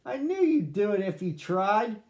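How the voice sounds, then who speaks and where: A man voicing an earnest young boy, the owner of a dog, in a storybook read-aloud, speaking high and emphatic. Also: sing-song, measured pace, a little mellow, exaggerated voice. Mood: cheering.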